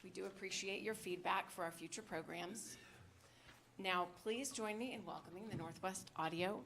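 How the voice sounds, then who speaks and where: A woman's voice: medium pitch, moderate pace, fairly bright tone. A woman hosting a library event, reading a scripted welcome to an audience. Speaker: library host introducing a show. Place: live public event in a library. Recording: clear background noise, clicks.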